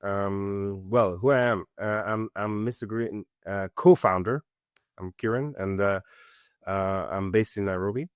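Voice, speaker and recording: A middle-aged man from Switzerland, a company co-founder, answering interview questions in an earnest, halting way with many fillers. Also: fairly low pitch, clean tone, no room sound, clean studio sound. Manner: earnest, many fillers and restarts, steady explanation. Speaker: company co-founder and CEO, guest.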